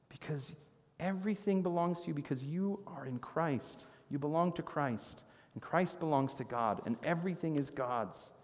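A man in his thirties preaches from a church stage, his voice sitting a touch high for a man. He tells stories with lively gestures, and the sound carries a long church-hall reverb. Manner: animated storytelling, gesturing while preaching. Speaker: pastor. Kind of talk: sermon.

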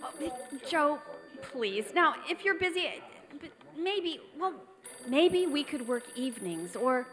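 A woman's voice: sits high, big pitch swings, slow pace, fairly bright tone, exaggerated voice. A woman playing an eager, earnest young script reader in a radio drama. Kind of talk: old-time radio drama acting. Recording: reverberant theatre stage recording.

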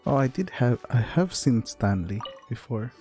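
A man's voice: medium pitch, animated, slow, dark tone, faint music behind. A man giving casual gameplay commentary as he plays and reacts. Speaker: gameplay streamer.

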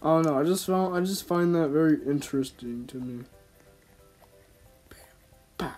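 A man's voice: fairly high pitch, moderate pace, darkish tone, faint music behind. A man giving casual, rambling gameplay commentary in slangy, informal speech, as a gaming YouTuber. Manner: slangy, informal, talking to himself and viewers.

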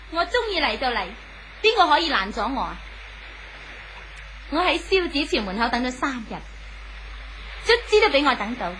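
A vengeful, possessive woman in an old Hong Kong Cantonese radio drama. She taunts theatrically in a sharp, highly animated voice. The old recording is noisy. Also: moderate pace, long pauses, heavily acted. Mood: mocking, triumphant. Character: obsessive, vengeful jilted woman, mocking and possessive.

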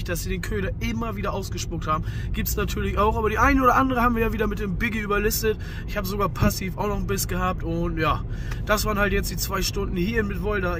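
A man's voice: high-pitched, background noise. A man hosting a fishing vlog, chatting casually and colloquially to his viewers as friends.

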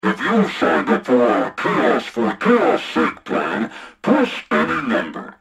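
A man voicing a sinister, mock-polite terrorist hotline announcer in a video game, husky and gravelly. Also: a little high, huge pitch swings, slow pace, fairly bright tone, exaggerated voice. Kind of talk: parody phone menu announcement in a video game.

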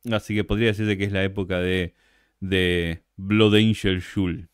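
A man's voice: low-pitched, flat delivery, normal speed, smooth, no room sound, clean studio sound. A young Argentine man who is a guest on a livestream interview, chatting casually and animatedly.

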